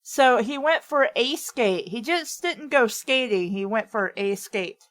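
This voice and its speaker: Higher-voiced woman in unhurried banter. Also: expressive, a bit bright. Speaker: fan fiction reader and commentator. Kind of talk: casual banter.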